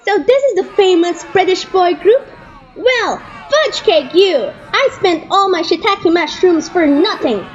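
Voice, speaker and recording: A woman's voice: very high-pitched, expressive, unhurried, dull audio, a little hiss or hum. A woman playing a female concertgoer and stage announcer in a theatrical audio drama. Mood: outraged.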